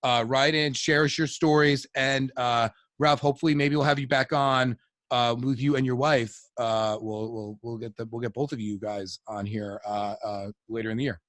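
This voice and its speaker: A man's voice: medium pitch, steady tone, moderate pace, a bit bright, no room sound. Male co-host: mid-range voice, loose joking ramble, dry close mic. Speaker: podcast co-host. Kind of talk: casual podcast chat.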